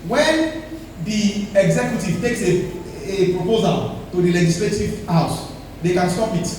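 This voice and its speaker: A man's voice: high voice, animated, measured pace, darkish tone, very echoey, far from the mic, a little hiss or hum, poor audio. A male pastor preaching a sermon with emphatic delivery, calling the congregation to respond.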